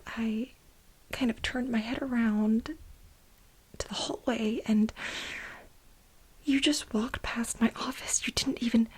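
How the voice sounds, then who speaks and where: A woman playing an aggrieved, manic, overworked research assistant in a soft-spoken, whispered ASMR role play, with halting, dramatic complaints. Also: on the high side, low-quality recording. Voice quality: breathy whisper.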